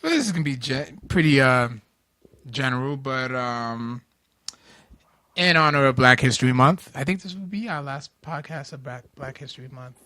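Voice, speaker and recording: A man's voice: medium pitch, lively intonation, normal speed, pushed and bright. A man co-hosting a podcast in casual, easygoing chat. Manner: easygoing, laughing interjections. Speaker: podcast co-host.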